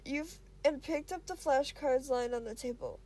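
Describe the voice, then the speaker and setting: High-pitched, mellow woman reading a story. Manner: halting, stumbles over words and self-corrects. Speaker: amateur fan fiction reader. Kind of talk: expressive storytelling narration.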